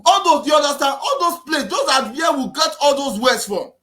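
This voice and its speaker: A man with a Nigerian accent giving an impassioned political talk, repeating himself and often raising his voice to a shout. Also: very high voice, sing-song, moderate pace. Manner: preachy, repetitive, often shouting. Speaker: activist speaker.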